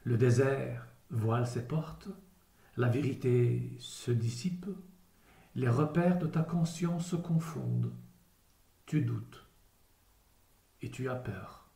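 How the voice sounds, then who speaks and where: A man reciting literary poetry solemnly, with measured, declamatory phrasing and deliberate pauses. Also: mid-range voice, vocal fry, mellow, faint music behind. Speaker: poetry reader. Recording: roomy echo around the voice.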